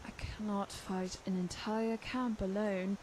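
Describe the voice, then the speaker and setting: A woman voicing a guarded young female traveller in a fantasy video game, measured and halting with dramatic pauses. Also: medium pitch, little pitch movement, noisy background, close to an everyday voice. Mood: uneasy recounting. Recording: game music behind.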